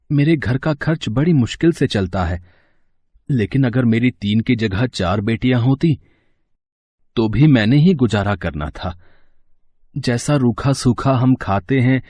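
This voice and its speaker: Slow-spoken, humble village gardener played by a man. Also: mid-range voice, expressive, a little mellow, dry recording. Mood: kind, concerned. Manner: plain-spoken, fatherly, earnest. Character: kind, humble, poor village gardener, fatherly. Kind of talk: devotional story dramatization in Hindi.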